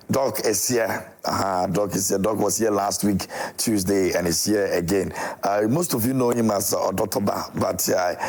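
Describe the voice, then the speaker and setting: A man's voice: fairly low pitch, a little mellow. A Ghanaian man hosting a morning TV talk show in a chatty manner, with many fillers, often echoing his guest's words. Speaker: TV show host.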